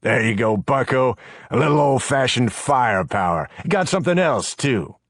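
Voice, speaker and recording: A man's voice: medium pitch, expressive, normal speed, no room sound, studio quality, voice pushed up, close to an everyday voice. A man voicing a crude, scornful male gang leader who talks in a brash, mocking way. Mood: cocky.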